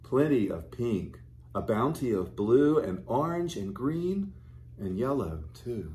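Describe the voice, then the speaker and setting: A man in his fifties, a pastor reading a picture book aloud to young children and explaining it gently and simply. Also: mid-range voice, big pitch swings, takes it slowly. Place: small furnished living room, indoors.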